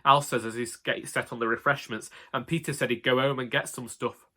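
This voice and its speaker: Bright, wry Northern English male storyteller. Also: mid-range voice, fairly even intonation, fairly quick. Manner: colloquial, wry, exasperated. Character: put-upon, indignant older husband, chatty storyteller. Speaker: audiobook narrator. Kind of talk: comic first-person monologue in character.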